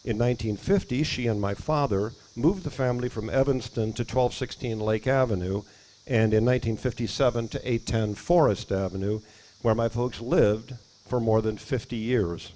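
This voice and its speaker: A man speaking at an outdoor ribbon cutting, reading measured, prepared remarks about his family. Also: medium pitch, dark tone, rough recording. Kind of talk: prepared ceremony speech. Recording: faint outdoor noise with crickets.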